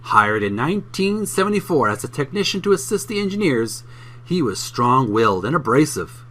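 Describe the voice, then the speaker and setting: Lively, bright-voiced man reading aloud. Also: medium pitch, normal speed. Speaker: host reading a book aloud. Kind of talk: book narration for a video channel.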